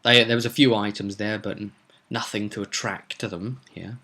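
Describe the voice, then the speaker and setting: Male, lowish but bright and punchy voice; rambling, off-the-cuff tabletop campaign storyteller with emphatic stresses. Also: fairly even intonation, normal speed. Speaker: host recapping a tabletop roleplay campaign. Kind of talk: casual unscripted storytelling.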